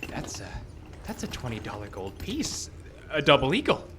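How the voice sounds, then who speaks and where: An actor playing a hot-headed, suspicious man, excitable and stammering, in live old-time radio style. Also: mid-range voice, normal speed, background noise, lightly acted. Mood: awed. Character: hot-headed, suspicious, desperate aspiring filmmaker with a gun.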